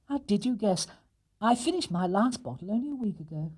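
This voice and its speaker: A man voicing a middle-aged mother in a children's story, gentle and fondly scolding. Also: high voice, animated, fairly quick, slightly rough, pitched above the performer's own voice. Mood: delighted.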